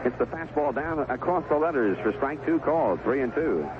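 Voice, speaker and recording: An American man calling baseball play-by-play on the radio, in clipped phrases with unhurried pauses. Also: medium pitch, animated. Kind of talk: live baseball radio commentary. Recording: tinny, noisy 1970s AM radio broadcast.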